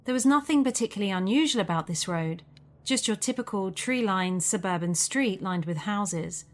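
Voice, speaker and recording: A woman's voice: mid-range voice, expressive, moderate pace. A woman narrating a spooky first-person story from a script. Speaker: narrator of a creepy true story.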